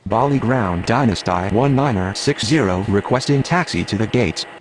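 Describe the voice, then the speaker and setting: A man's voice: on the low side, lively intonation, moderate pace, close to an everyday voice, low-quality recording. A man playing an airline pilot or controller in a game, reciting scripted air traffic phraseology. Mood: procedural.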